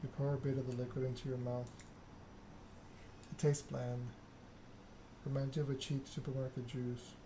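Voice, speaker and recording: A man reads narrative game text aloud as ASMR. His delivery is flat and deliberate, broken into short phrases. Clear background noise and clicking sit behind the voice. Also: medium pitch. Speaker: video game streamer reading a story game aloud.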